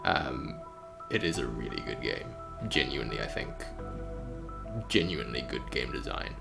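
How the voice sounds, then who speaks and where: A man's voice: very deep voice, animated, normal speed, vocal fry. A man streaming in a casual, rambling way, thinking aloud with hesitant phrasing. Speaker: streamer, game developer. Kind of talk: casual livestream chat. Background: music.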